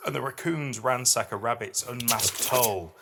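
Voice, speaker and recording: A man's voice: mid-range voice, normal speed, vocal fry, noisy background. A middle-aged man hosting a podcast, moving between casual, reflective chat and reading poetry aloud. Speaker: podcast host.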